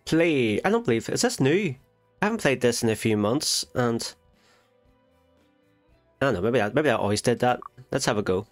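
A man's voice: mid-range voice, animated, moderate pace, long pauses, soft background music. Nasal-sounding man playing a game. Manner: chatty, self-correcting, thinks aloud. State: nasal from a cold. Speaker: gaming channel host. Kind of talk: casual let's play commentary.

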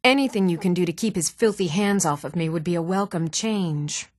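An actress voicing earnest, even-toned townswomen in a fantasy video game, speaking in a measured, clearly enunciated way. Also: female voice, fairly low pitch, dry recording, clean studio sound, close to an everyday voice. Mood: cold, repulsed. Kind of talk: scripted video game voice acting.

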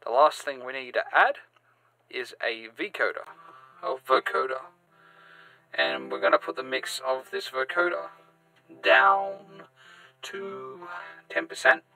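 A man hosting a step-by-step software tutorial, halting and sometimes repeating or correcting himself. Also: medium pitch. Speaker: tutorial host. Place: indoors, screen-recording voice-over.

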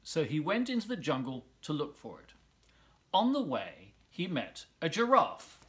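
A middle-aged man giving an animated reading of a children's storybook in a living room at home. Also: a little high, measured pace. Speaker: volunteer story reader.

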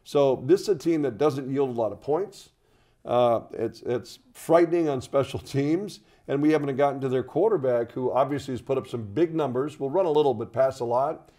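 An older man in a conversational, halting studio sports discussion, often repeating words as he makes his point. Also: medium pitch, mellow. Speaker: radio play-by-play commentator.

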